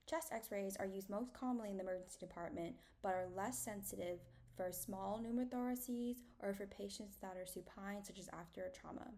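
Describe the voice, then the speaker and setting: A young adult woman giving a scripted academic medical presentation in a measured, explanatory way. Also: mid-range voice, dark tone.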